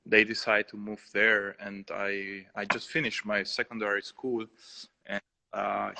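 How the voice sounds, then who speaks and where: Peruvian-accented male artist, low flat voice, hesitant delivery with frequent fillers. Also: rough recording. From Peru. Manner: hesitant, many fillers and restarts. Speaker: artist guest. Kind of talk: casual live interview.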